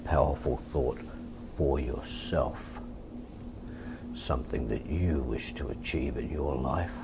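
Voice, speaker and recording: An Australian man with a deep voice, calmly and deliberately teaching and demonstrating hypnosis in a soft-spoken, repetitive way. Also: vocal fry, heavy background noise. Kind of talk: hypnosis instruction and induction, softly spoken.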